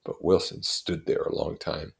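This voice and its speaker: A man reading literary prose aloud as an expressive narrator. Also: moderate pace. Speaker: reader.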